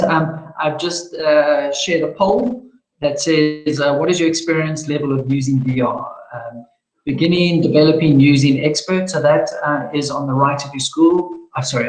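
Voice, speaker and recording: Brief: male event host, halting with many uhs, fairly high voice, echoey room. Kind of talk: casual event moderation.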